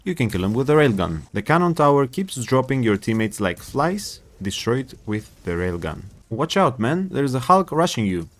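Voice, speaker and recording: A man with a Greek accent talks through a game build over footage, steady and instructive, addressing his audience as guys. His voice sits in a mid range, and his English is that of a non-native speaker. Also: medium pitch, normal speed, hardly any pauses. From Greece. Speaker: gaming channel host. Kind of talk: gameplay guide voice-over.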